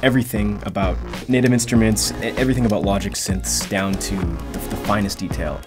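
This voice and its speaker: A man's voice: fairly low pitch, steady tone, fairly quick. A man, a former student, giving a promotional testimonial and often repeating words as he starts. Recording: music bed under voice.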